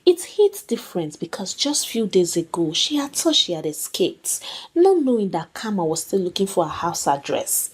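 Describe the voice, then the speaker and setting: A woman tells a scripted folktale in a dramatic storytelling style. Her voice sits a little low and has a husky grain. The pitch rises and falls in a lively way. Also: normal speed.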